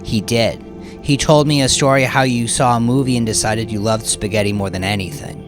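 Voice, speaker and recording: A man's voice: fairly low pitch, a little fast, over music, pitched above the performer's own voice, natural voice. A man voicing an eager, pleading little girl who is easily disappointed, in an audiobook story.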